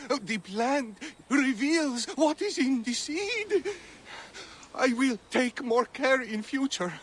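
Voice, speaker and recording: An actor playing a grateful, excitable young apprentice healer, a naive man, in a video game. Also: very high-pitched, takes it slowly, slight background noise, exaggerated voice. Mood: excited, grateful. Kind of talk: video game cutscene acting.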